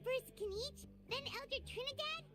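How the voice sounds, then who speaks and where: A woman voicing a tiny, excitable female fairy companion in a video game, chirpy and speaking of herself in the third person. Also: extremely high pitch, moderate pace. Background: game music.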